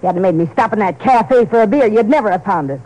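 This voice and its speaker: A woman's voice: mid-range voice, lively intonation, fast talker, dark tone, natural voice, low-quality recording. An actress playing a sullen, defiant female crook in a 1950s radio drama, talking brash street slang. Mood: bitter. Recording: vintage radio broadcast.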